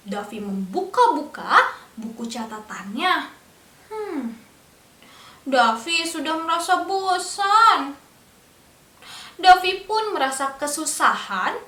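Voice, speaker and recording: A young woman telling a picture-book story to small children, in a slow sing-song way, stopping to ask them questions. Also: sits high, very animated, pushed and bright, distant mic. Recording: echoey room, a bit off-mic.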